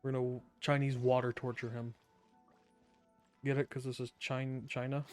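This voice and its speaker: Casual male streamer riffing sarcastically over a game, soft music under. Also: mid-range voice, level tone, moderate pace. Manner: joking, sarcastic asides. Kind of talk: casual live game commentary. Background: faint game music.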